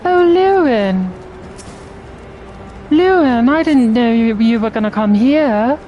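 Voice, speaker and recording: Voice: medium pitch, expressive, slow pace, muffled recording, pitched above the performer's own voice. A streamer voicing a refined feminine lady from a fantasy game, dainty and theatrical, with music behind. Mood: surprised, coquettish. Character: refined feminine lady character, Arabella, sweetly surprised. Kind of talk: game character impression.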